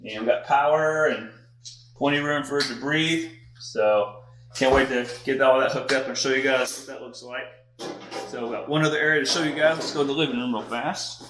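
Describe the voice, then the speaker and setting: Bright-voiced man in a very echoey room. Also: medium pitch, steady tone, fairly quick. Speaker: audio-video installer presenting a job-site walkthrough. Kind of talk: casual walkthrough explanation. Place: large unfinished house interior, hard floors. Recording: echoey empty rooms with long reverb.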